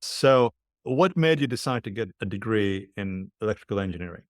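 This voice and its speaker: A man co-hosting a podcast, asking questions in a curious, steady way. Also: lowish voice, a little fast, no room sound, studio quality. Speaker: podcast co-host. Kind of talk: casual podcast interviewing.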